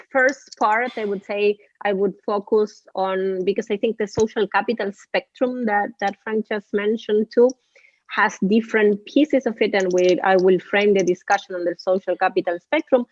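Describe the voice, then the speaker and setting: A woman's voice: mid-range voice, a little mellow, no echo, muffled recording. A woman from Argentina with an Argentinian accent, giving a lecture on a webinar. She talks earnestly and often restarts and repeats words. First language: Spanish. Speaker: professor and webinar speaker.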